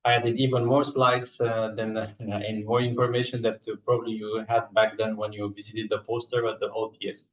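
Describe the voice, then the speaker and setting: A man with a Romanian accent giving a scientific slide presentation in a halting, hesitant way with frequent filled pauses. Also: on the low side, level tone. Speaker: research scientist and lab coordinator presenting. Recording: echoey room, distant microphone.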